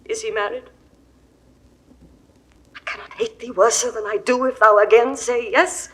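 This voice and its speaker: A high-pitched, imperious queen played by a woman. She is lovesick and volatile, speaking classical verse with grand theatrical force, as in a 1960s audio drama. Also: steady tone, normal speed, fairly bright tone, natural voice. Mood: wounded, brooding.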